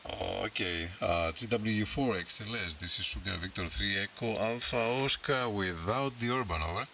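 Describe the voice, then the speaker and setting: A man with a Greek accent chatting casually as an amateur radio operator, halting and searching for words, over a shortwave radio link. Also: lowish voice, gravelly, far from the mic. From Greece. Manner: halting, searching for words in non-native English. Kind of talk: casual ham radio conversation. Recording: hissy, muffled shortwave radio transmission.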